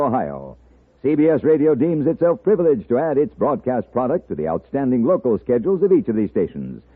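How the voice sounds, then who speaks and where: Through a narrow old broadcast recording, an American man makes formal radio announcements in 1950s network style. His pitch moves widely over a husky, medium-register voice. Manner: formal, polished, declarative.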